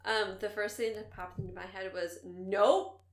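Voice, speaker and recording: A woman's voice: mid-range voice, fairly even intonation. Tipsy Wisconsin woman chatting in an echoey room. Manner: rambling, swears freely, drawn-out vowels. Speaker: podcast co-host. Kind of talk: casual chat.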